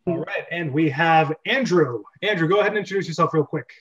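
A man's voice: fairly high pitch, fast, fairly bright tone, muffled recording. A man hosting a script-reading club over a video call, talking casually.

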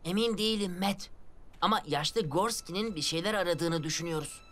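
A woman's voice: lowish voice, brisk, fairly bright tone, a little hiss or hum, natural voice. A woman playing an anxious, earnest young boy guardian in a dubbed children's cartoon, fussy and dramatic. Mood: cautious. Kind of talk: dubbed children's animated adventure.

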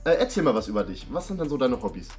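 A young man chats casually and off the cuff. He talks quickly, with music playing behind him. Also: mid-range voice, sing-song, a little mellow. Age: young adult. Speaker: participant in a staged date. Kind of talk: casual improvised chat. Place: indoor studio set at a dinner table.

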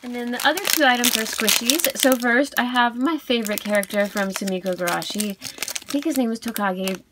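A woman giving a casual unboxing review as a video host, cooing softly over cute things. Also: a little high, brisk, background noise. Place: indoors at a tabletop.

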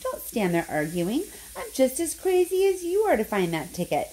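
A woman reads as a storybook grandfather, old, sly and easily excited. He talks like a nervous conspirator, slipping between hushed confiding and eager spluttering. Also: high-pitched, normal speed, voice pushed up, rough recording. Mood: excited, insistent.

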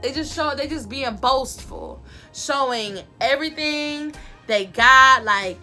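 Loud, bright-voiced sassy woman vlogger. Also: high-pitched, takes it slowly, soft background music. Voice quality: loud voice that carries. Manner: sassy, emphatic, repeats points for effect. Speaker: vlogger giving advice. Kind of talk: casual advice talk to camera. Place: bedroom, indoors.

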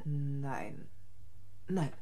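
An actress in a German radio play, playing a traumatized, stubborn woman with a husky voice. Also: a bass voice, extremely slow, a crowd in the background, full character voice. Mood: flat, bitter. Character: traumatized, stubborn woman who killed her tormentor.

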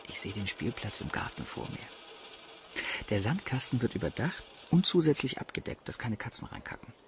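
A man's voice: mid-range voice, sing-song, moderate pace, slight background noise, lightly acted. An actor playing a practical, affectionate father-to-be in a radio drama, down-to-earth and teasing. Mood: fond, teasing. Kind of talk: German radio drama acting.

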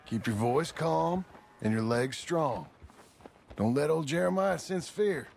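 An actor playing a patient middle-aged American cowboy ranch hand in a video game, with a gravelly voice and a reassuring drawl. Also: male voice, on the high side, animated, takes it slowly, a little mellow, slight background noise, heavily acted. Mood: calm, reassuring. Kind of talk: naturalistic video game cutscene acting.

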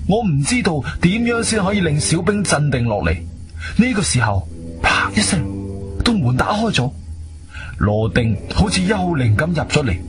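An actor playing an earnest, concerned adventurer-investigator, both narrating and speaking in a Cantonese radio drama. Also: male voice, fairly high pitch.

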